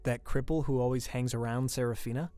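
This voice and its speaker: A man's voice: mid-range voice, fairly even intonation, brisk, dark tone, soft background music, close to an everyday voice. A man voicing a hesitant, deferential male student informant in a comic dub, casual and hedging.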